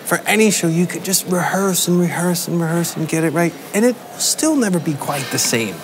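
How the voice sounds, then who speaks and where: A man's voice: on the high side, expressive, fairly quick, slight background noise. A man, a band musician, talking thoughtfully in a backstage interview indoors, often searching for words.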